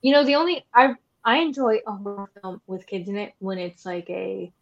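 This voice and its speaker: The audio is a little dull and lo-fi. A woman with a mid-pitched voice co-hosts a casual podcast, talking in an informal, chatty way and tossing in wry, opinionated asides. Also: normal speed, vocal fry, soft background music. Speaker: podcast co-host. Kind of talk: casual chat about horror films.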